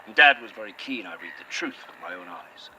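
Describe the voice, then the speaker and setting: Dude voicing a grumpy sweary brit, bright sharp tone that swings around a lot, faint outdoor noise. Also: male voice, on the low side, lively intonation, brisk, poor audio. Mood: dry sardonic. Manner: curt, sarcastic, heavy swearing. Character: bitter, sweary, sardonic man, weary. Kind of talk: audio drama acting.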